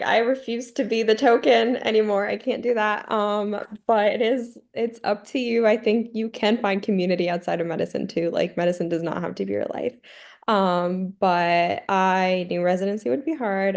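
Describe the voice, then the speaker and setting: A woman's voice: mid-range voice, fairly even intonation, moderate pace, creaky. A young woman, an emergency medicine resident on a video call, giving candid, emphatic advice to applicants on a webinar panel.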